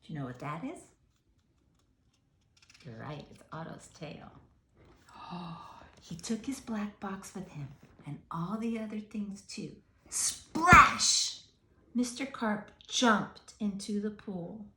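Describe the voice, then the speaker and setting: Animated woman reading a story to children. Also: mid-range voice, slow, pauses often, echoey room. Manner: expressive, questioning, speaking directly to young listeners. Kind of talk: animated read-aloud with asides to young listeners.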